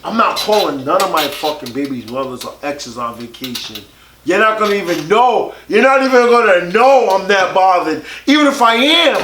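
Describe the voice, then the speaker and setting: A man in his late thirties in casual podcast chat, loud and animated, swearing freely and often bursting into shouts. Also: high voice, normal speed. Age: 30s. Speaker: podcast co-host. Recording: echoey room with faint noise.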